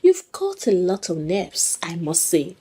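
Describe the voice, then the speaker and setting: A woman's voice: fairly low pitch, big pitch swings, a little slow, mellow, a little hiss or hum. A woman voicing a betrayed wife in a folktale, wounded, incredulous and defiant. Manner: incredulous, challenging.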